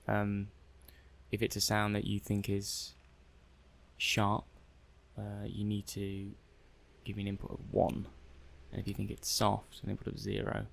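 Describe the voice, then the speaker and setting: A man's voice: on the low side, vocal fry. A man playing a mild-mannered, puzzled robot-testing technician in an ASMR roleplay, hesitant and muttering to himself. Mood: businesslike. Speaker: roleplay performer.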